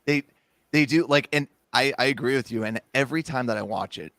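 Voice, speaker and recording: A man joins a sports podcast as a guest, chatting casually and affirming his host often with an easy laugh. His voice is recorded dry, with no room sound, at a middling pitch for a man. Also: a bit bright, no echo. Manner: agreeable, quick to affirm, laughs easily.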